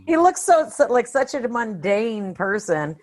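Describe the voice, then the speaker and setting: A woman's voice: on the high side, sing-song, unhurried, darkish tone. Chatty middle-aged woman on a podcast. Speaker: podcast co-host. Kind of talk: casual film discussion.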